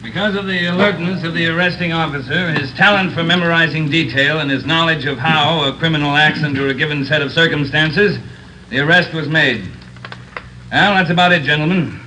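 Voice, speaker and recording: A veteran police captain in his fifties lectures recruits bluntly and dryly. His voice sits fairly high with a sharp, bright edge. A faint hiss runs under the old radio drama. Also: fairly quick, poor audio. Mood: earnest. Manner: blunt, dry speechmaking. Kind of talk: 1950s radio crime drama acting.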